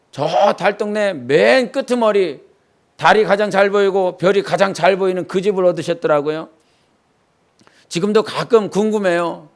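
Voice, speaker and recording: A male pastor from the Jeolla region preaching a Wednesday church sermon, moving between earnest teaching and homely stories from his childhood. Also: high voice. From Jeolla, South Korea. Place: church sanctuary.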